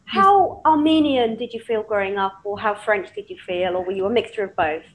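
A woman's voice: medium pitch, brisk, low-quality recording. A woman hosting a podcast's live chat, opening with hesitant "um" starts and asking her guest questions. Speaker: podcast host.

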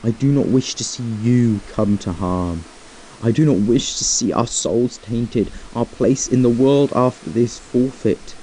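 Man as guilt-ridden companion, period drama, mellow voice, archaic solemn delivery. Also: medium pitch, unhurried, a little hiss or hum, lightly acted, low-quality recording. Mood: pleading. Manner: solemn, old-fashioned speech rising to desperate pleading. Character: guilt-torn male companion, fearful and remorseful.

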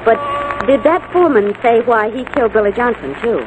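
An actress playing a sleuth's sharp-witted, protective female companion in 1940s radio drama style. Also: a little high, lively intonation, fairly quick, natural voice. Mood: knowing, inquisitive. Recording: noisy old radio drama recording.

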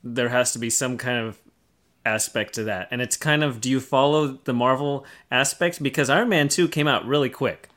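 A man's voice: medium pitch, moderate pace, a bit bright. A man hosting a podcast in a casual panel discussion, talking in an explanatory way and posing rhetorical questions. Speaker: podcast host.

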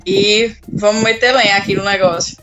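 A woman's voice: mid-range voice, normal speed. Woman, podcast guest, earnest, dull lo-fi sound with faint hiss. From interior of Pernambuco, Brazil. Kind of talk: casual chat.

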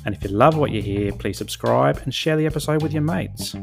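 A man's voice: medium pitch, fairly quick, vocal fry, music in the background. An Australian man hosting a podcast, talking as a presenter and interviewer. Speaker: podcast host.

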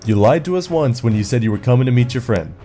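A man's voice: mid-range voice, animated, fast, warm tone, faint music behind, close to an everyday voice. A man playing a firm, plain-spoken guard leader in a sci-fi audio drama. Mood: stern warning. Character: stern male guard leader in space commune.

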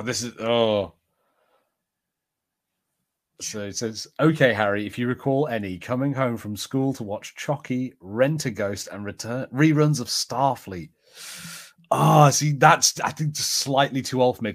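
Man, average pitch, podcast co-host, informal conversation, rambling, slightly hesitant delivery. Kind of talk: casual chat, reading out viewer comments.

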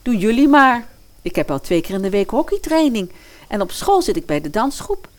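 A woman's voice: fairly high pitch, sing-song, normal speed. A woman playing a modern schoolgirl in a fairy-tale radio play, breezy, frank and offhand. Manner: casual, offhand.

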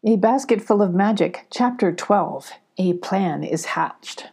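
A woman's voice: mid-range voice, slow pace, vocal fry, darkish tone, slightly rough. An older woman hosting a story time and narrating a scripted children's story. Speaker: storyteller and host.

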